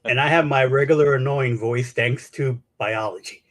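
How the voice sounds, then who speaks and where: A man's voice: mid-range voice, normal speed, fairly bright tone. A man co-hosting a casual live chat with dry, self-mocking quips. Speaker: co-host.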